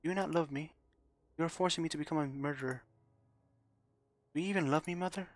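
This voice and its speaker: Male reader doing a clingy, unhinged young woman; raised pitch, dry close sound, stuttering pleas. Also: fast talker, no room sound, natural voice. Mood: anguished. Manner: pleading, sobbing, stumbling restarts.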